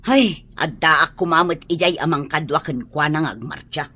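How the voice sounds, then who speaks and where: A woman's voice: sits low, moderate pace, pushed and bright, slightly rough, full character voice. A woman playing a stubborn, indignant mother in a radio drama, combative and with an Ilocano accent. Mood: indignant, defiant.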